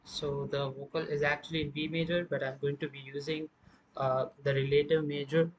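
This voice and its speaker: Man, tutorial presenter, slightly high voice, even monotone delivery, halting step-by-step explanation, noisy home recording. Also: lo-fi sound. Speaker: music production tutorial presenter. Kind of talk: casual step-by-step software tutorial narration.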